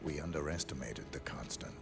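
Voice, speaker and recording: An actor playing a stoic, grim assassin in a video game, speaking in short, deliberate phrases. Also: male voice, rumbling low, creaky. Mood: grave, guarded. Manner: terse, flat-toned, deliberate. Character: stoic, grim male professional assassin, quietly loyal. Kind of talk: restrained video game cutscene acting. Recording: loud music under the voice.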